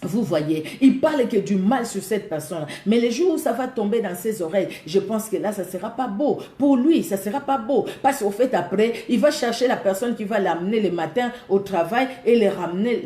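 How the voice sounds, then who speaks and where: A woman's voice: mid-range voice, lively intonation, moderate pace, hardly any pauses, dark tone. A woman from Congo with a Congolese French accent, preaching a sermon emphatically, repeating key words and laughing often. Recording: echoey room.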